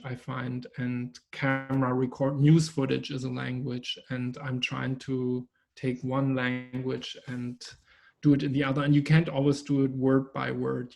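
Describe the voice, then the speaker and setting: A man whose first language is German, an artist speaking thoughtfully in an interview, pausing often with fillers. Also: medium pitch, creaky, darkish tone. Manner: thoughtful, hesitant with many fillers. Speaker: artist guest. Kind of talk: conversational interview.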